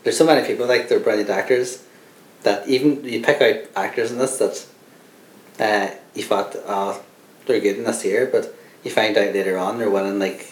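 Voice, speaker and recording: A man's voice: fairly low pitch, steady tone, normal speed. A young Irish man chatting casually about films on a podcast, rambling with lots of fillers and restarts. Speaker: podcast co-host. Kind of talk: casual film chat. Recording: echoey room.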